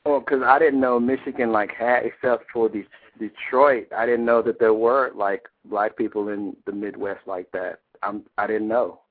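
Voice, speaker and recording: A co-host on a call-in radio show, playful and joking, laughing a lot between remarks. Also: male voice, fairly low pitch, fairly even intonation, moderate pace, hardly any pauses, slightly rough. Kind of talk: casual call-in radio chat. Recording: tinny phone line.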